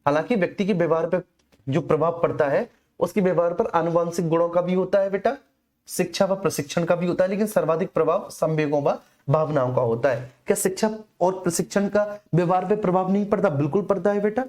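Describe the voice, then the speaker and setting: Mellow-voiced male teacher. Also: high voice, brisk. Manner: repetitive, explanatory, addressing students directly. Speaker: teacher of exam preparation class. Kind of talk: informal exam coaching lecture.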